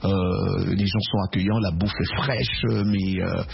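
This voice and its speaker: A man's voice: low-pitched, steady tone, a little slow, creaky, slightly rough, lo-fi sound. A man of Congolese heritage hosting a casual chat, enthusiastic and often repeating words as he searches for them.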